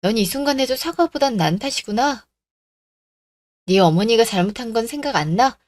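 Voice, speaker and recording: A woman's voice: on the high side, animated, normal speed, no echo, natural voice. A woman playing an indignant, firm young woman in a radio drama, scolding bluntly. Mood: scornful. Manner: blunt, scolding, rhetorical questions.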